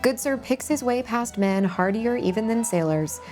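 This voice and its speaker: A woman hosting a table read, chatting briskly and then reading stage directions aloud. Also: a little high, lively intonation, a little mellow, soft background music. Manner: brisk, upbeat, laughs easily. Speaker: host and script narrator.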